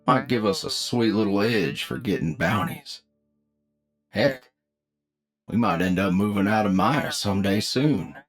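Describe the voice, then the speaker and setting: A man's voice: lowish voice, expressive, unhurried, soft background music. A man playing a friendly, easygoing bounty hunter who mentors a rookie partner in a folksy, reassuring way, in an anime audio roleplay. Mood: reassuring, casual.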